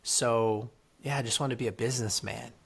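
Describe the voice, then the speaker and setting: Earnest man with a mid-pitched voice. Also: fairly even intonation, fairly quick, creaky, a bit bright, husky. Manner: earnest, motivational. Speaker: guest, author and entrepreneur. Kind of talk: casual interview storytelling.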